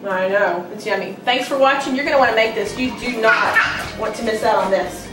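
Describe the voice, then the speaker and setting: A woman's voice: a little high, brisk, over music. A middle-aged woman hosting a casual home cooking demo, chatty and upbeat. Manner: chatty, upbeat, loose instructions. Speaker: cooking show host. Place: home kitchen. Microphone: far camera. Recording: echoey kitchen from far off, clattering dishes.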